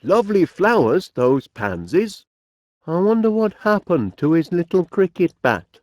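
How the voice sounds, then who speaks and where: A man's voice: high voice, sing-song, a little slow, a little mellow, no room sound, studio quality. A man playing a cheery, eccentric middle-aged inventor in a cartoon video game, with a Northern English accent and a dotty, genial, musing manner. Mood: cheerful, chatty.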